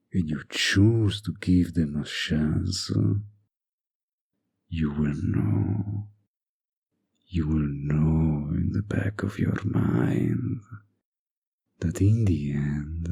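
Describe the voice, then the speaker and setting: Male actor as a flirtatious villain, slow drawl, husky breathy tone, smug, manipulative, intimate roleplay audio. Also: low voice, mellow, heavily acted. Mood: menacing, persuasive. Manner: drawling, coaxing, smug, with deliberate pauses.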